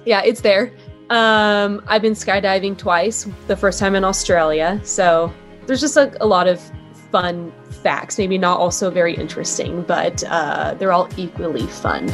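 A woman's voice: fairly low pitch, unhurried, soft background music. A young adult woman, a former sports anchor, talking casually as an interview guest in an upbeat, chatty way with lots of "um" and "like".

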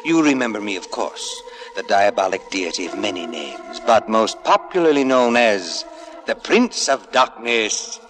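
An actor playing a suave, gloating Satan who hosts a 1950s radio horror tale in a theatrical, insinuating way. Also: male voice, fairly low pitch, sing-song, a little slow. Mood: sly, menacing. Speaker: story narrator and host. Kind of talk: 1950s radio drama acting. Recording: old radio recording, loud music behind.

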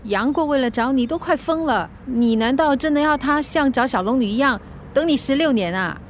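High-pitched, caring big-sister friend. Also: female voice, animated, brisk, a little hiss or hum. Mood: concerned. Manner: reassuring, bantering. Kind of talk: radio drama acting.

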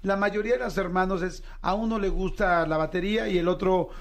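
A man's voice: high-pitched, fairly even intonation, a little fast. A man from Mexico City with a Mexican Spanish accent, hosting a casual interview in a chatty, slangy, enthusiastic way. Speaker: podcast host.

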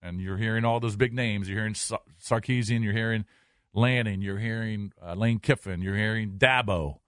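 A man's voice: lowish voice, normal speed, a bit bright, clear, resonant tone, dry recording, studio quality. A man co-hosting a sports talk show in casual style, rattling off figures and names and repeating phrases. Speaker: sports radio co-host. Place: radio studio. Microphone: close studio microphone.

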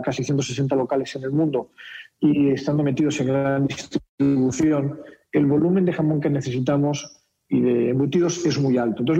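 Man, Castilian Spanish accent with a Mexican tinge, fairly high voice, mellow tone, muffled remote audio. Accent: Castilian Spanish with a slight Mexican mix. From Spain. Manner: explanatory, frequent repetitions and tag questions. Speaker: company director, interview guest. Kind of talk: radio interview.

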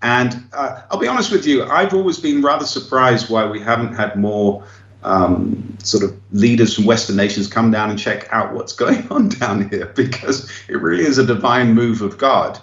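A man's voice: medium pitch, moderate pace, fairly bright tone. An English missionary pastor being interviewed remotely, speaking earnestly and reflectively, often chuckling as he talks. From England. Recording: roomy, muffled remote line.

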